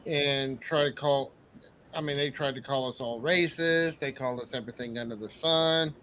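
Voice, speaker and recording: A man's voice: medium pitch, moderate pace, bright, forceful tone. A middle-aged man co-hosting a casual live panel, telling long earnest stories. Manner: earnest, rambling storyteller. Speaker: co-host.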